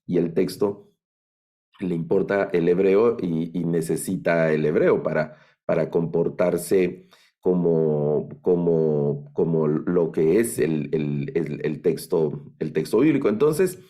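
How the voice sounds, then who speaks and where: A middle-aged man with a Guatemalan accent giving an online lecture on biblical languages, thoughtful and halting, with many fillers and restarts. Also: deep voice, mellow. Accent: Guatemalan Spanish. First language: Spanish. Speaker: Bible language instructor.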